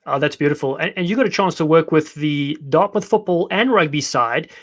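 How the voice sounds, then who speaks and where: Male host, upbeat, chatty, fairly high voice, friendly banter. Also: animated, brisk, fairly bright tone. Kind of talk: casual live show interview.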